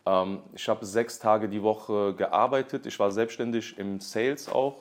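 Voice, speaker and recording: A man's voice: fairly low pitch, steady tone, unhurried. A man in his thirties from Germany, a podcast guest talking casually with many fillers and restarts. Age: 30s. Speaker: podcast guest, real estate agent.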